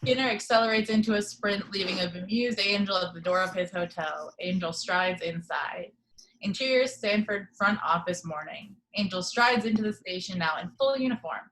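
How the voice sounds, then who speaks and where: A woman's voice: medium pitch, normal speed, fairly bright tone, a little hiss or hum, poor audio. A woman reading screenplay action descriptions as the narrator of an amateur table read.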